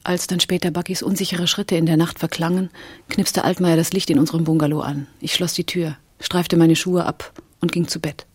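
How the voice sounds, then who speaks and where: A woman's voice: on the low side, little pitch movement, fairly quick, husky, no room sound. A woman acting a cool, resolute accomplice in a German radio crime drama. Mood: tense.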